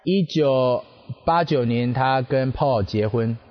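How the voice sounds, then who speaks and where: Male radio host and interpreter, measured delivery with filler pauses, average pitch, slightly lo-fi. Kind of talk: unhurried radio interview, translating each answer.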